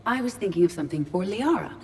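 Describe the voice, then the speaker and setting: Game role, curious literal female AI. Lowish voice, precise formal phrasing, dry delivery, noisy ambience. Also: expressive, fast, mellow. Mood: formal, earnest. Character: female ship artificial intelligence in android body, curious, literal, earnest. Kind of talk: video game dialogue acting.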